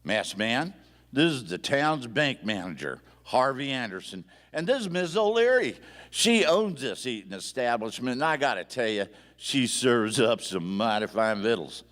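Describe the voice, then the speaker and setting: A man playing a folksy, animated sheriff. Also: medium pitch, moderate pace. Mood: hearty. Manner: folksy, emphatic. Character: plain-spoken, stubborn small-town Western sheriff. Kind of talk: old-time radio western acting. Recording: echoey live theatre hall.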